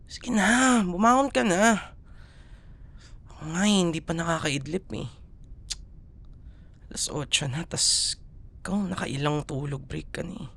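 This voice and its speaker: A man's voice: a little high, unhurried, gravelly, pauses often, fairly bright tone, full character voice. A young man with a Filipino accent playing a playful, affectionate but exasperated student boyfriend in an intimate audio roleplay, coaxing and teasing as he talks. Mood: exasperated, nagging. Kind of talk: ASMR boyfriend roleplay, casual Tagalog banter.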